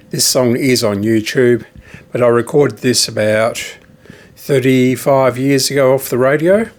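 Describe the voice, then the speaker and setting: Slow-talking middle-aged man musing over repairs. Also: mid-range voice, a little mellow. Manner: hesitant thinking aloud, musing. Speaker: electronics repair hobbyist and video host. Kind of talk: casual repair commentary.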